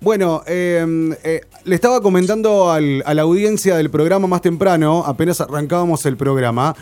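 A man hosting a radio interview, asking questions in a relaxed way with many hesitant fillers. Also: a little high, normal speed. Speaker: radio host.

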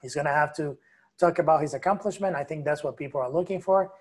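A man's voice: fairly high pitch, fast. A man speaking as a guest commentator on a political podcast, emphatic and opinionated. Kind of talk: casual political podcast talk.

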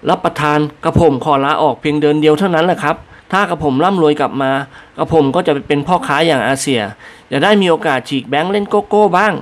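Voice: mid-range voice, fast talker, close to an everyday voice. A reader voicing a humble, deferential male servant answering his masters politely in an audiobook.

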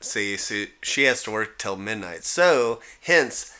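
A man's voice: on the low side, lively intonation, slow, bright, forceful tone. A man co-hosting a podcast with blunt, salty, joking anecdotes in casual banter. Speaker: podcast co-host.

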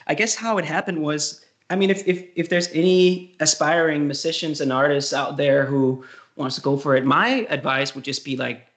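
A man's voice: a little high, moderate pace, fairly bright tone. A young Danish man with a Danish accent, chatting loosely as an interview guest, full of fillers and restarts. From Denmark. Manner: rambling, hesitant, frequent fillers and laughs. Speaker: guest singer-songwriter. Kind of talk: casual interview chat.

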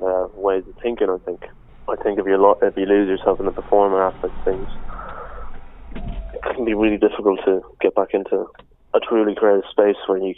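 Soft-spoken musician on a phone line. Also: male voice, lowish voice, no room sound. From Spain. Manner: soft-spoken, many "you know" fillers. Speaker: band musician guest. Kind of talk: reflective interview talk. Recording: tinny phone-line sound.

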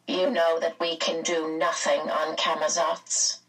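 A woman voicing a calm, grave female guardian being in a storybook, measured, firm and regretful. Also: on the high side, husky, recorded from a distance, pitched above the performer's own voice, exaggerated voice, poor audio. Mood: cold.

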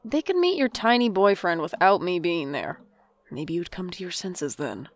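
A woman's voice: fairly low pitch, big pitch swings, normal speed. A woman acting as a sardonic, stubborn older sibling in a naturalistic audio drama, with a dry, sarcastic delivery. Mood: sarcastic, bitter. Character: sardonic, guarded older sibling, stubborn and bitter about parents.

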